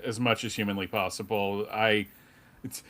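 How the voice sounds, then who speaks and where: Wry middle-aged man, halting delivery. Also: on the low side, little pitch movement, a bit bright. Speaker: panelist at a fan convention. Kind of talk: casual panel discussion, fan commentary.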